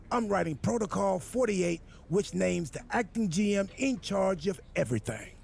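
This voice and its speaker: An actor playing a goofy, self-important wrestler turned boss in a video game cutscene, with a gravelly, husky voice and an earnest, absurdly literal, rambling manner. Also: male voice, high voice, a little hiss or hum, heavily acted. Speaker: wrestler acting as general manager.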